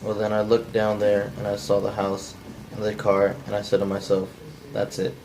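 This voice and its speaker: Low, creaky, menacing drifter read by a man. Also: normal speed, slight background noise, lightly acted, poor audio. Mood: threatening, tired. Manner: flat, unpolished amateur line reading. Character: menacing, bitter, down-on-his-luck male drifter intruder. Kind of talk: cold table read of a film script.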